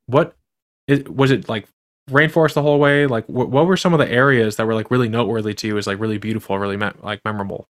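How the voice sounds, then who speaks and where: A man's voice: on the low side, fairly even intonation, quick pace. A man hosting a podcast, asking rambling questions full of restarts and fillers. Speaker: podcast host. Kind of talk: casual interview chat.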